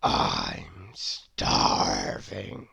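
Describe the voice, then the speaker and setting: Deep, growling hungry lion. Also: female voice, wildly swooping pitch, vocal fry, a bit bright, breathy edge, heavily acted. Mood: hungry, menacing. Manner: slow, drawn-out menace. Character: hungry, menacing storybook lion.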